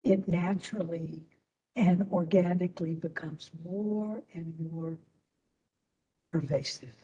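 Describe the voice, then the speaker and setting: A woman instructor leading a guided meditation in a soothing manner, with long pauses between short phrases. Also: fairly low pitch, warm tone, recorded from a distance, highs cut off.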